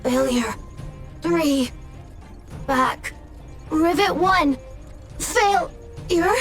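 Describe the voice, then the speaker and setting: A tiny, unstable robot, extremely high and very slow, over light music. It talks in repetitive single words, an excitable, childlike video game creature. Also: female voice, vocal fry, heavily acted. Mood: halting. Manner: halting one-word utterances, repetitive. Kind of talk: video game character dialogue. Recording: faint game music behind the voice. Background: faint game music.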